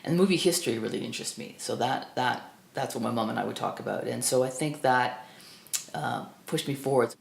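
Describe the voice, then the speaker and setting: A woman in her fifties from Canada, whose first language was Estonian, recalling her life in a reflective, halting way with many restarts. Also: a bass voice, gravelly. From Burlington, Ontario, Canada. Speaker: film critic guest. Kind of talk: reflective personal storytelling. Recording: echoey room.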